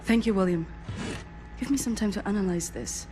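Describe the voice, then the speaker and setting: A woman voicing a studious resistance analyst in a video game, explaining things in a focused, measured, deliberate way. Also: medium pitch, noisy background, natural voice. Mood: focused, intrigued. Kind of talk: cinematic video game acting.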